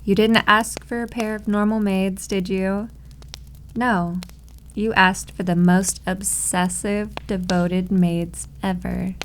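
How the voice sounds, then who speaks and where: A woman's voice: mid-range voice, flat delivery, slow pace, slight background noise. A woman acting as a doting, possessive maid girlfriend in an ASMR roleplay, cooing and confidently teasing.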